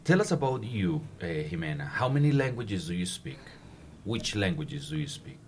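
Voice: low voice, sing-song, normal speed, a little hiss or hum. An interviewer asking a student questions for listeners, encouraging her, rephrasing questions and supplying the words she is missing. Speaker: interviewer host.

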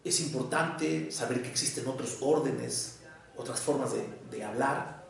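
A man with a Mexican accent giving a prepared lecture in a deliberate, didactic way, with emphatic pauses. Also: medium pitch, creaky. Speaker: lecturer on psychoanalysis. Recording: echoey room, distant microphone.

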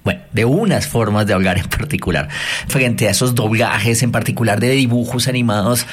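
A man's voice: on the low side, moderate pace, slightly rough. A man interviewing a guest, posing long, articulate questions in a composed way.

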